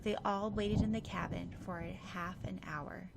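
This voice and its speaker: A woman's voice: fairly low pitch, steady tone, normal speed, gravelly, noisy background. A woman reading scripted fiction as an audiobook narrator.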